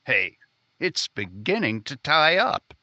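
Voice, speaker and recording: Hard-nosed reporter hero male actor, vintage radio crime drama: emphatic, forceful, hugely expressive pitch. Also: takes it slowly, dry recording, highs cut off, heavily acted. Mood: excited, accusing. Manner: emphatic, probing, punchy.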